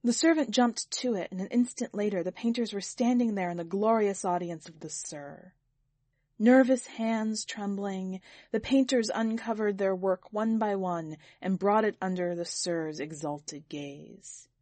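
Lady reading a short story for a podcast, expressive narration, medium pitch, very dry audio. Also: unhurried, no echo. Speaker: podcast editor and story reader. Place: quiet, dry recording space.

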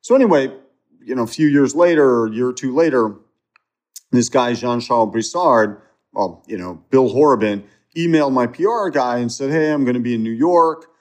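A man's voice: medium pitch, normal speed. Male interview guest, mid-range voice, casual conversational storyteller, lots of fillers and restarts. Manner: conversational, many fillers and restarts, animated retelling.

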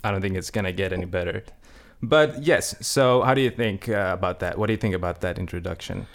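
A man's voice: deep voice, quick pace. A young man, co-hosting a podcast in casual chat, easygoing and rambling, laughing often, recorded in an apartment. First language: Swedish. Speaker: podcast co-host.

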